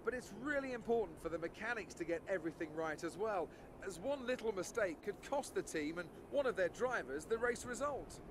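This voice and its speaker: A man giving polished, grand, scripted narration as a race presenter. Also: sits high. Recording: outdoor track ambience with engine noise.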